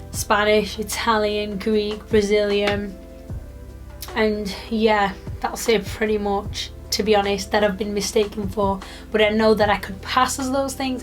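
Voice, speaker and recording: A British woman in her twenties from the UK, chatting casually to camera in a rambling vlog with lots of fillers. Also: mid-range voice. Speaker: YouTuber.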